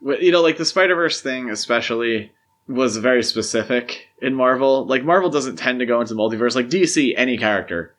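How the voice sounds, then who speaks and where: A man's voice: mid-range voice, expressive, a little fast, fairly bright tone. A man co-hosting a podcast, chatting casually and giving his opinions with emphasis. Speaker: podcast co-host. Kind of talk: casual film discussion chat.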